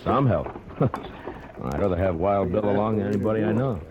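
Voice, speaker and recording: An actor playing a tough, plain-spoken American U.S. Marshal in a 1950s radio western, with folksy turns of speech. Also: male voice, on the low side, fairly quick, muffled recording, lightly acted. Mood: teasing, exasperated. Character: steady, tough frontier U.S. Marshal of the Old West. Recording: noisy old radio recording, clicks.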